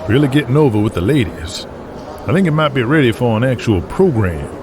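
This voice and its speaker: A man's voice: medium pitch, expressive, brisk, background noise, close to an everyday voice. An actor playing a brash, foul-mouthed 1980s wrestling man in an audio drama. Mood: businesslike. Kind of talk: audio drama acting with narration.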